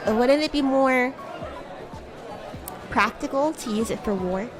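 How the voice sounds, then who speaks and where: A woman voicing a bratty, playful young demon schoolgirl in chatty livestream roleplay, with a teasing, giggly, halting manner. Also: high voice. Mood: puzzled, animated. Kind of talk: improvised in-character livestream roleplay chat. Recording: music and crowd murmur behind. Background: music and crowd ambience.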